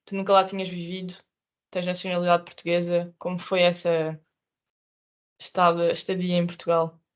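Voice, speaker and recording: A woman interviewing conversationally on a podcast, starting questions haltingly and rephrasing them as she goes. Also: on the low side, monotone, clean tone.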